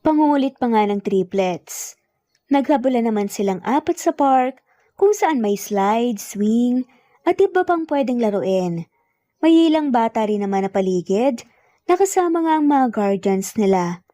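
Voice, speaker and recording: A woman's voice: a little high, lively intonation, unhurried, warm tone, dry recording. A woman with a Filipino accent reading a scripted romance story as narrator. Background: background music.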